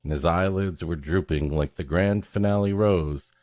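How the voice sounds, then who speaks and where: A man's voice: deep voice, moderate pace, a little mellow, no echo, studio quality. A man hosting a comedy podcast, mixing casual joking banter with mock-florid, dramatic reading of a story.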